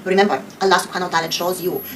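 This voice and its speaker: A woman's voice: fairly low pitch, fairly even intonation, racing, fairly bright tone, far from the mic, a little hiss or hum, rough recording. A woman from Bulgaria with a Bulgarian accent, speaking earnestly and devoutly as she answers viewer questions about her faith, often using Arabic religious phrases. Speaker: convert sharing her story.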